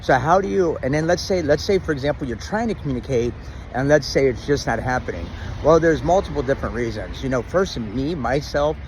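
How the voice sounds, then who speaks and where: A middle-aged man with a loud, dominant voice gives relationship advice to camera in a loose, rambling way, with plenty of ums and restarts. His pitch sits right in the middle for a man. He records outdoors, with faint car and street noise behind him. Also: expressive, a little fast, rough recording. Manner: says he has a loud, dominant voice. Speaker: vlogger giving relationship advice. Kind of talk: casual talk to camera.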